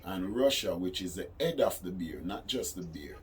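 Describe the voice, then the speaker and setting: A man's voice: sits low, lively intonation, normal speed. A man with a Caribbean accent giving an impromptu interview, explaining his views in an insistent, preaching way and repeating phrases for emphasis. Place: studio, during a phone call. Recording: noisy ambient room recording.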